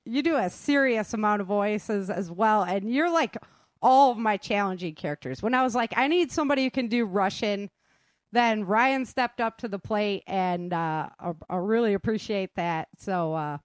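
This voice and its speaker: A woman's voice: medium pitch, moderate pace, no room sound. A woman hosting a casual interview, talking in an informal, encouraging way. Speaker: host interviewing her audio drama cast.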